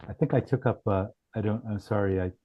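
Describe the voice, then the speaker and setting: A man presenting as a company founder in a business pitch on a group call, speaking haltingly with frequent restarts. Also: husky, phone-quality sound. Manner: halting, often restarts phrases. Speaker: startup founder presenting his product.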